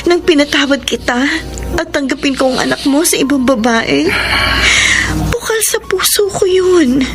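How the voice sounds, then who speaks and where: A tearful pleading wife. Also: female voice, high-pitched, animated, normal speed, music in the background. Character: distraught young wife pleading innocence. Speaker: radio drama actor. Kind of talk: classic radio drama acting.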